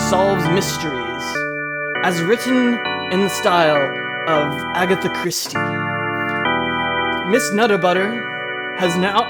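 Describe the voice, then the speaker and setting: A woman voicing a grand radio mystery announcer, improvising a theatrical, halting introduction. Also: fairly low pitch, pitched below the performer's own voice.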